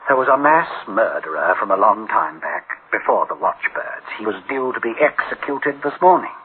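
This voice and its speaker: An actor playing an anxious senior official in a radio play, speaking gravely and haltingly with theatrical delivery on a tinny recording. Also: male voice, fairly low pitch, sing-song, a little hiss or hum. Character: anxious senior official alarmed by runaway machines. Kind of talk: dramatic radio play acting.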